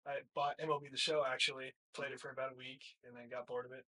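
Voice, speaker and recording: A man's voice: medium pitch, flat delivery, quick pace, bright, forceful tone, low-quality recording. A nineteen-year-old man, a college baseball player, answering questions casually as a podcast guest in a small room. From hill country, United States. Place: small plain room, indoors, seated.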